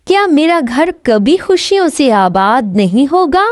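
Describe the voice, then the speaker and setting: Woman doing a sad tired storybook woodcutter guy, pleading, drawn out, high voice. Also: big pitch swings, dry recording. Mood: anguished pleading. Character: weary, sorrowful young woodcutter man pleading to heaven.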